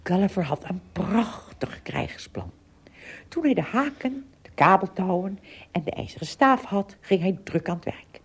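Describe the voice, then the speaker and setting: A woman narrating a scripted adventure story for a radio play, in expressive storyteller style. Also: mid-range voice, measured pace, slightly rough.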